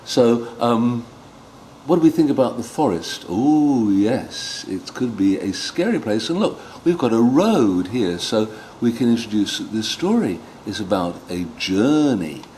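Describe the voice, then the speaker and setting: Expressive, slow-paced male storytelling teacher. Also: mid-range voice, slight background noise, low-quality recording. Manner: expressive, pauses for emphasis. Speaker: storyteller teaching read-aloud technique. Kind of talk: instructional demonstration with expressive story reading.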